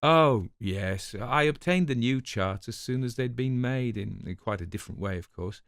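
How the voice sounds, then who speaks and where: A man's voice: medium pitch, lively intonation, normal speed, dry recording, studio quality, natural voice. A man reading a storybook part as a callous, self-justifying spymaster who speaks in a formal, disdainful, precise way. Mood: self-justifying, weary. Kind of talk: dramatized audiobook reading.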